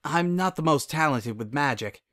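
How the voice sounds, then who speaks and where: A man's voice: fairly high pitch, animated, normal speed, a bit bright. A man voicing a nervous, reluctant male unicorn pony with a hesitant, anxious manner in a story reading.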